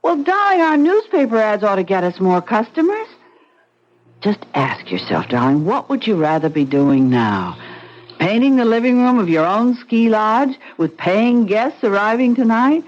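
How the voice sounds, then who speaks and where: An earnest young wife. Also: female voice, a little high, very animated, normal speed, a little mellow. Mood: coaxing. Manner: earnest, persuasive. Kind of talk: 1970s radio drama acting. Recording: dull lo-fi radio broadcast.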